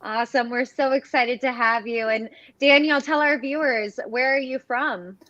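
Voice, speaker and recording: A young woman from Ohio hosts a live interview, upbeat and quick to cheer her guests on. Her voice sits a little high. The sound is dull and lo-fi, dry, with no room behind it. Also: a bit bright, no echo. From Ohio, USA. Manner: upbeat, says "awesome" often. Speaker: host.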